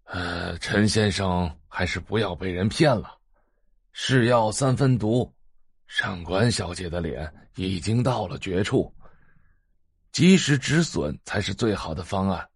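A pompous old male physician in an audio drama. He is grave and condescending, with a slightly low voice and a very dry recording. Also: expressive, a little slow, husky, dry recording, heavily acted. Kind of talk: multi-voice audio drama acting.

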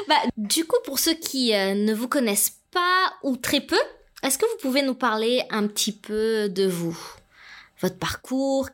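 Upbeat higher-voiced woman interviewer. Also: fairly bright tone. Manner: friendly, upbeat questioning. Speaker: podcast interviewer. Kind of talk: casual interview.

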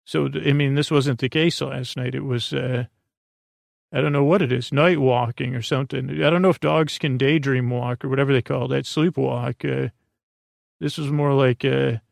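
A man with a creaky voice hosting a bedtime podcast, rambling in a halting, soothing way full of tangents and trailing thoughts. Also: medium pitch, fairly even intonation, no echo, clean studio sound. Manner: soothing, lulling. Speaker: podcast host.

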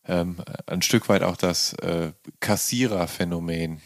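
A man's voice: sits low, unhurried, no room sound, clean studio sound. A man asking questions and offering thoughts as the interviewer in a casual conversation.

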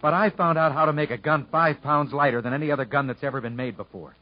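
A man's voice: a little high, fast talker, lightly acted. Guy playing an understated proud male convict, polite but stubborn, muffled old radio play. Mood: earnest, determined. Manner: plainspoken, understated, earnest. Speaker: actor in a radio drama. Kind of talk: 1950s radio drama acting. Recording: muffled 1950s radio broadcast.

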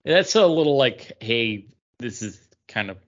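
A man's voice: mid-range voice, lively intonation, measured pace, dull audio. A man co-hosting a podcast, talking casually with friends. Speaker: podcast co-host. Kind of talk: casual chat, reading out a list.

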